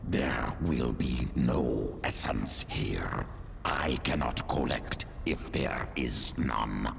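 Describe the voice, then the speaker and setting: A man voicing a hulking primal alien predator in a video game, with a gravelly growl and menacing, stilted phrasing. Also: a bass voice, very slow, full character voice. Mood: hungry, menacing. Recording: noisy ambience behind the voice.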